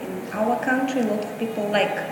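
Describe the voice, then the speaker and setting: A middle-aged woman from Russia with a Russian accent, in halting non-native English that repeats words and restarts, giving a street interview as a boutique owner. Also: medium pitch, background noise. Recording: echoey long reverb, clear background ambience.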